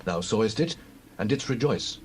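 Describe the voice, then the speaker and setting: A man's voice: lowish voice, lively intonation, normal speed, a little mellow, a little hiss or hum, natural voice. An actor playing a loyal prince in a dramatized Bible reading, pleading earnestly for his friend. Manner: earnest, pleading, archaic delivery.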